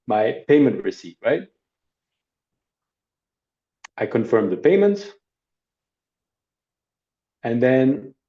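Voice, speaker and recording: A middle-aged man teaching a workshop over a video call, demonstrating a service in a relaxed, conversational way with hesitations and asides. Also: medium pitch. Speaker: workshop instructor. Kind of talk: live online training demo. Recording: dull lo-fi video call audio.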